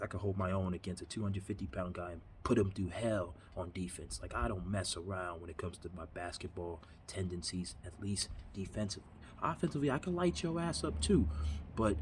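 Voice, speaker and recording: Man, 30s, from New York; low voice, earnest rambling vlog monologue, faint backyard ambience. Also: a little hiss or hum. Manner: earnest, rambling, repeats himself. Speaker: vlogger. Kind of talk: motivational monologue. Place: backyard outdoors.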